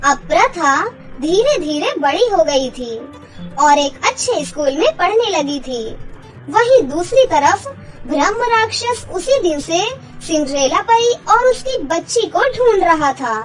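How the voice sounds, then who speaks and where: Very high-voiced woman narrating slowly. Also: very animated, faint music behind, rough recording. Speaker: storyteller, narrator. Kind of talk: scripted children's story narration.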